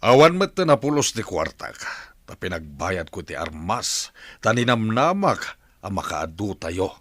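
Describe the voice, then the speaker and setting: Man acting bitter crime boss, swinging pitch. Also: big pitch swings, measured pace, a bit bright, no room sound, heavily acted. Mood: bitter, resigned. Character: bitter, cursing crime boss, husband caught by police. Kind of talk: radio drama dialogue.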